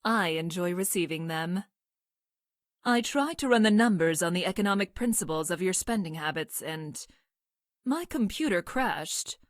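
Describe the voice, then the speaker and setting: An actress voicing a coldly clinical scientist from the future in a video game, with deadpan, formal, precise diction. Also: female voice, fairly low pitch, normal speed, no room sound, clean studio sound, natural voice. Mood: detached, analytical. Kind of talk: scripted video game character lines.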